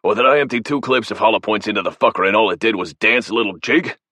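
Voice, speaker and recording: A man voicing a tough male soldier boss, gruff, profane and commanding. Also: fairly low pitch, fast, pushed and bright, no room sound, pitched above the performer's own voice. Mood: angry.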